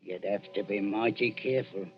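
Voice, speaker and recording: An actor playing a callous, greedy Cockney body-snatcher in a radio thriller, with a gravelly voice. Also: male voice, on the low side, moderate pace, natural voice. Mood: callous, scornful. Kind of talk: 1940s radio thriller acting. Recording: muffled vintage radio recording, music behind.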